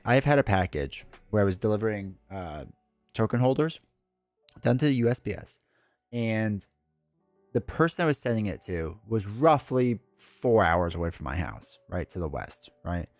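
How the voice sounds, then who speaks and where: A stuffed-up man with a cold talks on and on in a loose, chatty ramble, his fairly low voice swinging up and down with lots of life. He drifts from aside to aside, filling gaps with ums and uhs as he sets up a board game on a live stream. Soft music plays faintly underneath. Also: very animated, normal speed, no echo. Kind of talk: casual live-stream chat.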